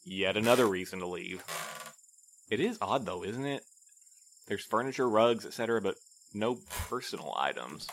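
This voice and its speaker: An actor playing a prim, by-the-book postmaster in an audio drama, with formal, fussy, precise speech. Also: male voice, on the low side, lively intonation, normal speed, long pauses, a bit bright, low-quality recording. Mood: measured, curious. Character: prim, by-the-book male postmaster, curious and pedantic. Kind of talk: naturalistic full-cast audio drama.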